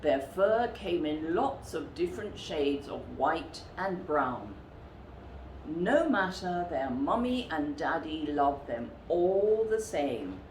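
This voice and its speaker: Expressive low-voiced woman reading a children's story. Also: reverberant, a little hiss or hum, rough recording. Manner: dramatic, measured storytelling.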